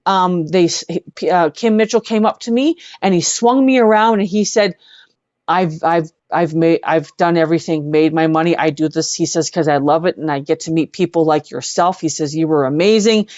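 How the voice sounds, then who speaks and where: A Canadian woman talking casually as a guest in an earnest, halting way, often repeating words. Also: fairly low pitch, darkish tone, dry recording, muffled recording. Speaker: guest, singer-songwriter. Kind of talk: casual live conversation.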